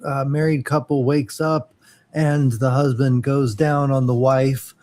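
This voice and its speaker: A man's voice: mid-range voice, level tone, measured pace, a little mellow. A man co-hosting a casual talk show who tells crude jokes and stumbles over his words. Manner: crude jokey, stumbling with fillers. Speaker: co-host. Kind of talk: casual joking chat.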